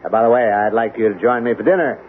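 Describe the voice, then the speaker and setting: Thin, band-limited vintage radio sound with faint noise carries an actor as a proud male colonel. He has a medium-pitched voice and talks formally, assertively and with official polish. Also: quick pace, phone-quality sound, lightly acted. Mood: proud, courteous. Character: proud, smooth-talking military colonel directing a secret project. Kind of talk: 1970s radio drama acting.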